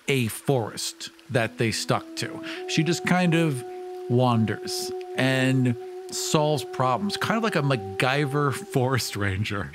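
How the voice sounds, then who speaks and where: A man with a mid-pitched voice talks as a player in a tabletop game. He explains things casually, with a wry touch.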